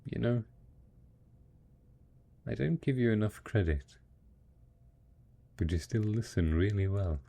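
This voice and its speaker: A man playing a devoted, patient husband in an intimate post-apocalyptic audio roleplay, coaxing slowly and hesitantly in a soft, husky voice. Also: lowish voice, lively intonation, a little hiss or hum, heavily acted. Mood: encouraging, fond. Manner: slow, coaxing, hesitant, talking as if to a child. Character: devoted, patient husband tending zombie spouse after apocalypse.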